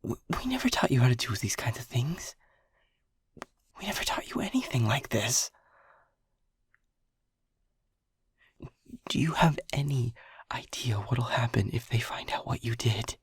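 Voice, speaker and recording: A man voices an anxious young lab technician in an audio roleplay, stammering through hushed, breathy whispers. His voice is gravelly and very husky, recorded close and dry with no room sound. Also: mid-range voice, lively intonation, moderate pace, no echo. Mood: frightened whisper.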